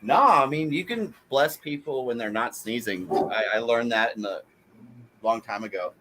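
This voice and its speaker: A man's voice: medium pitch, animated, normal speed, background noise. A man in his thirties telling stories in a casual chat, laughing at his own jokes.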